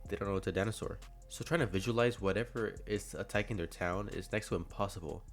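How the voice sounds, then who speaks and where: A man hosting a video, giving scripted analysis commentary. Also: on the low side. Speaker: YouTube host. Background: faint music.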